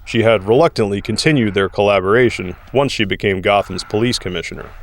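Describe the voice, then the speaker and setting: A man's voice: fairly low pitch, quick pace. A man serving as narrator, reading scripted dramatic story narration.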